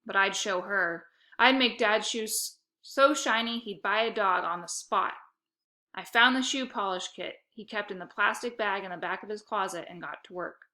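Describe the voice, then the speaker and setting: A woman reading a children's book aloud in animated scripted narration. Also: mid-range voice.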